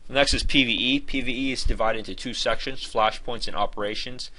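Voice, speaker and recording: A man's voice: mid-range voice, steady tone, fairly quick, sharp tone, poor audio. A man giving an informal game review in a conversational, opinionated and emphatic way.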